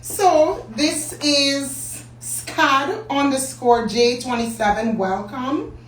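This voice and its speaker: A hoarse middle-aged woman with a Jamaican accent hosts a business talk live stream, chatting and greeting viewers by name. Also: high voice, very slow. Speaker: business talk show host. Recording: echoey room, distant mic, faint hum.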